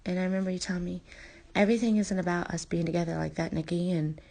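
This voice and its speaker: A woman telling personal memories in an intimate, halting, confessional way, her breathy voice often thinning toward a near-whisper. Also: on the low side, fairly even intonation, darkish tone. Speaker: personal storyteller addressing a former partner. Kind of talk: intimate spoken memoir, unscripted.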